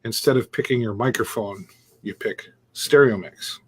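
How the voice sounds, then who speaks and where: A man's voice: on the low side, moderate pace, slight background noise, poor audio. A man co-hosting a casual live-stream chat, explaining his tech setup step by step with chuckles and trailing sentences, on a Bluetooth earpiece. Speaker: co-host demonstrating streaming setup.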